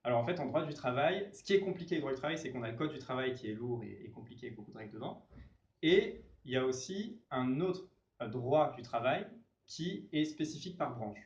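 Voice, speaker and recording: A man's voice: mid-range voice, animated, fairly quick. A man, a labor lawyer, explaining rules patiently to an audience with example after example. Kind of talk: explanatory panel talk. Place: conference room. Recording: echoey room, dull mic.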